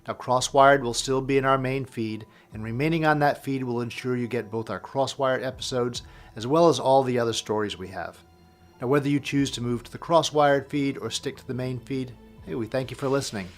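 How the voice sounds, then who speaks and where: A man's voice: medium pitch, a little fast, soft background music. A man giving scripted credits and announcements as a show's producer, in a friendly, straightforward way. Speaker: producer and announcer of audio drama show.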